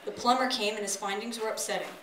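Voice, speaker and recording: A woman's voice: mid-range voice, fairly even intonation, fast talker, a bit bright. A woman doing a live comic story reading in a storybook narrating manner, recorded from the audience in an open-sided wooden pavilion. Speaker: author reading own work aloud. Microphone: distant audience camera. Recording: echoey pavilion, distant noisy camera audio.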